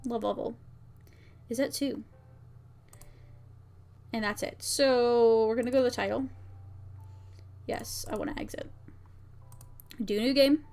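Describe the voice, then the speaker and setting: A woman's voice: on the high side, fairly even intonation, a little slow, pauses often, darkish tone, soft background music. A woman livestreaming casually, thinking aloud and muttering to herself as she tests her own game. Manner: muttering to herself, reading out lines as she works. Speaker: VTuber streamer and game maker. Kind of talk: casual livestream, thinking aloud while testing a visual novel.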